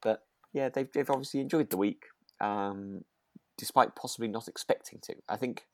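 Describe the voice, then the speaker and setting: A man's voice: fairly low pitch, moderate pace, no echo. A man co-hosting a podcast in casual chat, tentative and often restarting his thoughts. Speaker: podcast co-host. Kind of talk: relaxed casual chat recapping a radio drama.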